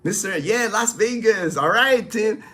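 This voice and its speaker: Brief: middle-aged Filipino man, native Tagalog speaker, slightly high voice, loose rambling live-stream host talk. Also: animated, unhurried, pushed and bright. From Philippines. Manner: rambling, friendly, many fillers and restarts. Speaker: live stream host answering viewer questions. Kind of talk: casual live-stream chat.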